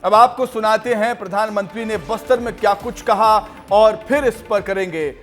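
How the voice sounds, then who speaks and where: A male TV news anchor speaks in a high register. His scripted delivery is emphatic and energetic, with music playing behind him. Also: normal speed.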